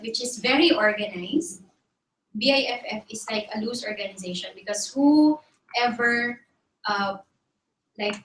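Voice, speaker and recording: A woman from the Philippines, giving an explanatory talk as a guest speaker in a measured way, pausing often on fillers. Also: a little high. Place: university classroom. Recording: echoey room, distant mic.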